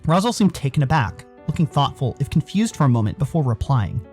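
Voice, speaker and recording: A man's voice: medium pitch, big pitch swings, a little fast, a little mellow, faint music behind. An American man giving playful scripted narration of a story in a theatrical, tongue-in-cheek manner. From United States. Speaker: narrator and streamer.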